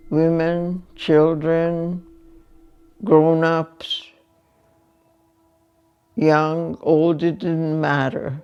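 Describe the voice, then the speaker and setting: A woman, giving slow, measured spoken testimony with long pauses. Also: low-pitched, clean tone. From Hungary. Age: 90s. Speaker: Holocaust survivor giving testimony. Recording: dull lo-fi sound with faint music underneath.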